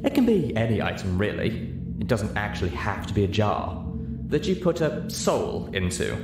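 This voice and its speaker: A man voicing an elegant, ladylike unicorn mare with a refined, gossipy, measured delivery in a story reading. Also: on the low side, very animated, echoey room, loud music behind the voice. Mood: explaining.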